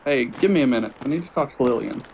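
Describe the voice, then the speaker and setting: A man's voice: medium pitch, expressive, quick pace, vocal fry, a little mellow, a little hiss or hum, low-quality recording. A man playing a casual, caring friend in an audio drama. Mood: reassuring.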